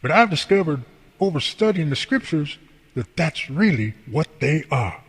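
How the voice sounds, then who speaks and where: An actor playing a folksy, devout boss and mentor in an amateur radio drama, explaining things earnestly and at length. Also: male voice, on the high side, sing-song, normal speed, slightly rough, full character voice. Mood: earnest, preaching. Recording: long hall reverb.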